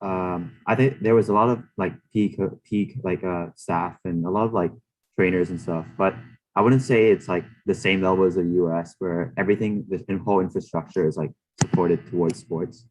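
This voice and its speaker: Low-voiced young man, hesitant and casual. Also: fairly even intonation, normal speed, a little mellow, low-quality recording. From Hong Kong and Thailand. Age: young adult. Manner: hesitant, filler-heavy with frequent "like". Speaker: student athlete panelist. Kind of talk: casual panel answers.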